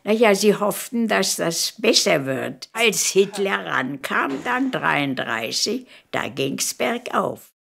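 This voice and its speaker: A woman of about 100 from Königsberg in former East Prussia, reminiscing in an unhurried, halting way in a documentary interview. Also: medium pitch, big pitch swings, gravelly, a bit bright. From Königsberg, East Prussia, Germany. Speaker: documentary interviewee, eyewitness recalling her life. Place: furnished living room, indoors.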